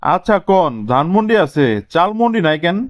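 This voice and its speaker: Guy playing a cartoon boss quizzing applicants, terse, slightly high voice, dhaka bengali accent, fairly roomless dry sound. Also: expressive, normal speed, no echo. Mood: testing. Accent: Bangladeshi Bengali, colloquial Dhaka. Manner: terse, questioning. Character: male boss quizzing applicant with trick questions. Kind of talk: comic cartoon dialogue.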